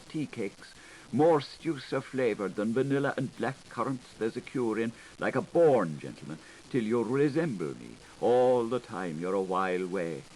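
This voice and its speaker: A man voicing a coquettish young girl, noisy tape. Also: lowish voice. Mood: sweet, confiding. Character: coquettish, gushing young Irish girl writing love letters. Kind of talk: audiobook character reading of literary fiction. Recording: hissy 1980s audiobook recording.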